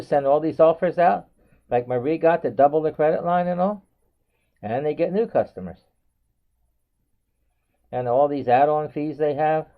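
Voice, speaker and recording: Older man, thin phone-like sound. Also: medium pitch. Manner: halting, repetitive, self-correcting. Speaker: consumer vlogger. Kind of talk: rambling advice monologue.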